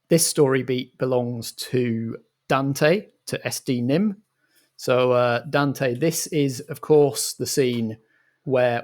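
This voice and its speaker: A man with a mid-pitched voice directs as game master. He sets up improvised comedy scenes deliberately, stopping and starting as he goes. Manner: halting, deliberate.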